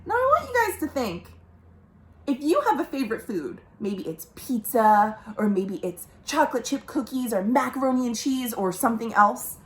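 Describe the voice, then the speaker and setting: A woman's voice: on the high side, big pitch swings, normal speed. A woman hosting a storytime for young children, speaking expressively and directly to her young listeners. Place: indoors at home.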